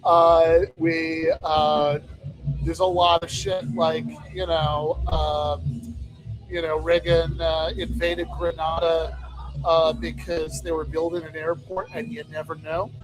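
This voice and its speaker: A man chatting casually on a podcast, halting often and circling back over his own phrases. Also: fairly high pitch, level tone, poor audio. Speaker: podcast host. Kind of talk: casual chat. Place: bar. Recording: loud music behind the voice. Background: loud music.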